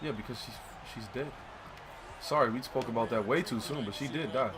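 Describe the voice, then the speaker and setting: A man's voice: mid-range voice, fairly quick, heavy background noise. A young man in a casual movie chat, speaking bluntly and with plenty of buddy-like slang. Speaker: co-host of a movie review chat.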